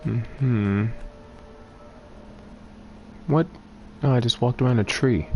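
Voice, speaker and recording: A man's voice: medium pitch, takes it slowly, lo-fi sound, a little hiss or hum. A man giving casual, off-the-cuff commentary as he plays a video game, speaking close to the microphone.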